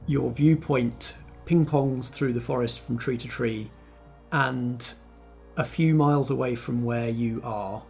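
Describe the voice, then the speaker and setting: Man narrating a tabletop fantasy game in measured phrases. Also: medium pitch, gravelly, a little mellow, soft background music. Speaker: game master.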